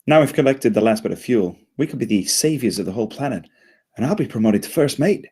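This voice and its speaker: A man's voice: mid-range voice, lively intonation, fast, darkish tone, breathy edge. A man playing an anxious, eager spaceship crew leader, flustered and hesitant, at a comedy table read.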